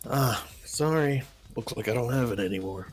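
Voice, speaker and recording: A man's voice: mid-range voice, moderate pace, soft background music, lightly acted. A man voicing a goofy, evasive young slacker who stammers, in an amateur video game dub. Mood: shifty, apologetic.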